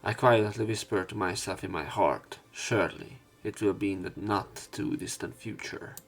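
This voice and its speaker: A male let's play narrator with a fairly low voice. He reads the text of a visual novel aloud, line by line, as a story. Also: steady tone, moderate pace, creaky.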